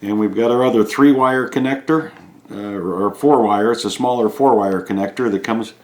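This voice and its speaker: A man giving a casual hands-on repair walkthrough, halting as he thinks aloud while he works. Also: on the low side. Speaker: DIY repair video host. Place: indoors at a home kitchen countertop. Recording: echoey hard-surfaced room.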